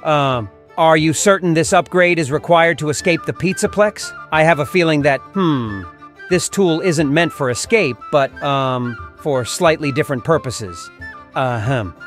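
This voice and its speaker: A man plays a courteous robot bear in a cartoon dub. His voice sits on the higher side for a man. He is anxious and protective, often hesitant and stammering, with music behind him. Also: unhurried, natural voice. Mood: puzzled, hesitant. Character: polite, anxious male bear animatronic, protective and apologetic. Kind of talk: comic dub character acting.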